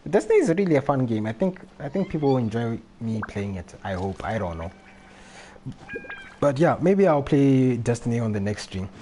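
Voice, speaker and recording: A man's voice: fairly low pitch, mellow, slight background noise. A man chatting casually on a gaming livestream, rambling and repeating himself as he goes. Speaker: live streamer.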